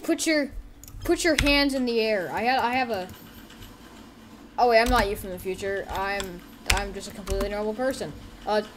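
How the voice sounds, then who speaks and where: A bossy, bumbling robber acted out by a female performer in a homemade story. The voice is high and flustered, stammering through threats over faint noise. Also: lightly acted. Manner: stammering, self-correcting.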